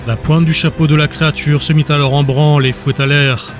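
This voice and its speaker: A man narrating a dark fantasy audiobook in a steady, measured storytelling voice. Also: a little high, faint music behind. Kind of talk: scripted narration.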